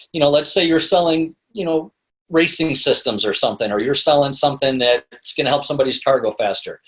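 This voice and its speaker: A man's voice: mid-range voice, a bit bright, rough recording. A man with a North American accent speaking as an interview guest, conversational and explaining things through examples. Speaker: interview guest, author and storytelling consultant. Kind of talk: casual interview talk.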